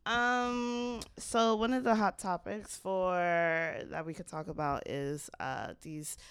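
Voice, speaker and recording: A young woman co-hosting a podcast, chatting casually with quick asides that trail off. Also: fairly low pitch, steady tone, smooth, dry recording. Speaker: podcast co-host. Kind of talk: casual chat.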